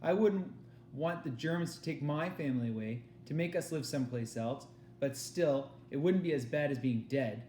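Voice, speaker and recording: A man's voice: a little high, normal speed, darkish tone, reverberant, a little hiss or hum, lightly acted. A man voicing a nervous, dreamy young girl with a theatrical streak in a storybook reading. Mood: fearful. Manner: intones grandly when play-acting.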